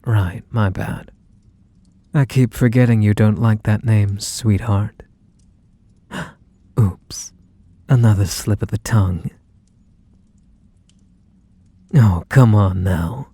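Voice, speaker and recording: A possessive mafia boss acted out by a man croons to his lover in an ASMR roleplay. His voice is dark and very unhurried, recorded close and dry. He is teasing and condescending, with menace under the affection. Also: on the low side, slightly rough, no echo. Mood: mocking, teasing. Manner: unhurried, mock-sweet, condescending pet names. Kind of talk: ASMR roleplay, intimate one-sided dialogue.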